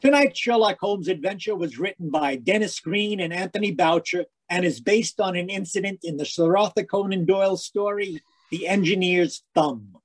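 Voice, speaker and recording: A man playing an eager, cheery 1940s radio announcer and sponsor pitchman. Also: moderate pace, husky. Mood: formal sign-off.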